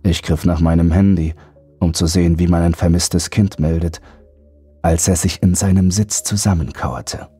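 A man's voice: steady tone, moderate pace, no echo, faint music behind. A man reading a scripted first-person horror story as an audiobook narrator. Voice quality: deep, husky, mellow.

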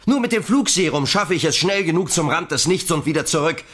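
A man's voice: on the high side, lively intonation, brisk, a bit bright. A man playing a brave, determined young hero in a children's science-fiction audio drama, urgent and decisive.

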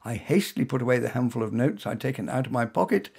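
A man reading his own short story aloud as first-person narration in a wry, expressive manner. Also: mid-range voice, quick pace, creaky, slightly rough.

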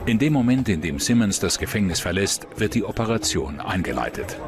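A man with a deep voice narrates a true-crime documentary from a script. Music plays clearly behind him. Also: expressive, fairly quick.

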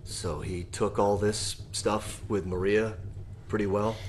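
An actor playing a weary, guarded leader in a post-apocalyptic audio drama, terse and brooding. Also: male voice, lowish voice, steady tone, slow pace, a little hiss or hum. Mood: tentative.

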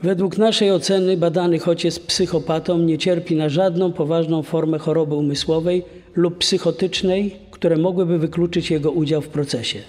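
Formal male psychiatric expert acted by a man, higher register, clinical testimony, long reverb. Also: steady tone, moderate pace, darkish tone, close to an everyday voice.

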